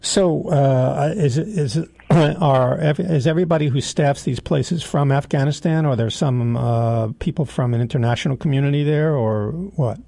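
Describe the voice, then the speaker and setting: Gravelly, dark-voiced male interviewer. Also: fairly high pitch, normal speed, few pauses, no room sound. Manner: probing, hesitant questions with restarts. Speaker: radio interviewer. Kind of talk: radio interview.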